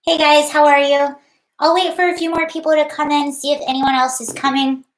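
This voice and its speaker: Woman host, very high voice, chatty and rambling, casual livestream, echoey room. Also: level tone, a little fast. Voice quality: high-pitched. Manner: chatty, rambling, greets viewers by name.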